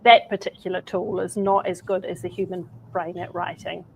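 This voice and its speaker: A woman's voice: fairly low pitch, little pitch movement, moderate pace, vocal fry, slight background noise, poor audio. A woman guest in a casual interview, talking with enthusiasm and checking in with "right?" and "you know". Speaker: guest speaker.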